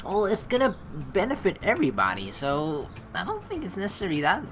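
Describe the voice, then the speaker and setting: A woman doing chatty, slangy let's play commentary and reading game story text aloud with expressive reactions. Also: mid-range voice, fairly quick, over music.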